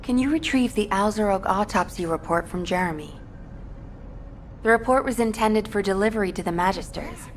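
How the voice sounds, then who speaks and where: A woman playing a dutiful military operations officer in a video game, speaking in a formal, measured, earnest way. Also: mid-range voice, slight background noise, natural voice. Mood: earnest, confiding. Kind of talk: video game mission briefing.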